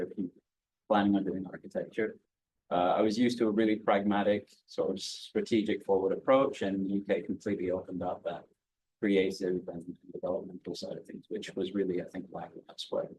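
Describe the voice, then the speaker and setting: A man speaking on a student panel, talking quickly in long run-on thoughts. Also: low-pitched, rough recording. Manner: quick, flat and a bit husky, talks in long run-on thoughts. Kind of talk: casual panel discussion.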